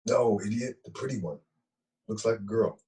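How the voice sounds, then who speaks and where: A man's voice: lowish voice, moderate pace, warm tone, close to an everyday voice. A man playing a blunt, cocky, crude young straight guy in a script read-through.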